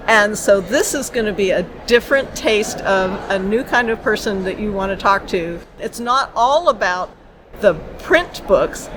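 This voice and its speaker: Lady interviewer w a sharp bright voice, live event, bit of noise behind. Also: fairly high pitch. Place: live at a convention. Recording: faint convention background noise.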